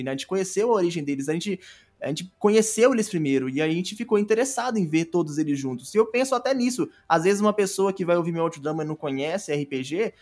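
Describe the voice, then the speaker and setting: Homem brasileiro de voz bem aguda. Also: male voice, high voice. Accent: Brazilian. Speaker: podcast guest, audio drama creator. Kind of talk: casual podcast chat. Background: music.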